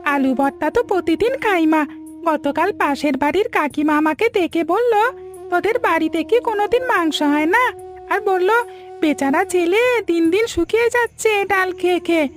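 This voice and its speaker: A woman's voice: very high voice, normal speed. A woman voicing a sad, innocent little boy in a cartoon folk tale, with a plaintive, childlike delivery. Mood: sad, puzzled.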